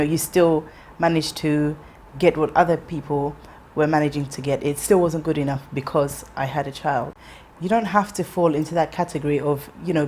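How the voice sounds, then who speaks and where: A woman in her thirties, raised in England, tells her story in a low, steady voice. She speaks reflectively, pausing with ums and restarting phrases. A faint hiss of noise sits behind the recording. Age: 30s. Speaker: interviewee. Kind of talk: personal life story interview.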